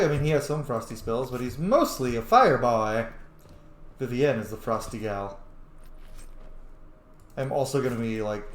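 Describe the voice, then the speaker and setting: A man with a mid-pitched voice gives casual roleplay commentary on a game. He swears freely and thinks aloud for his character, with roomy echo and faint noise. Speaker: gaming video host.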